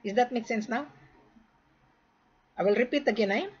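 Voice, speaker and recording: A woman from the Philippines making a repetitive, insistent sales pitch, lecturing the listener in a direct-to-camera talk. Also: normal speed, slightly rough. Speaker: gold sales marketer. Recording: dull lo-fi recording.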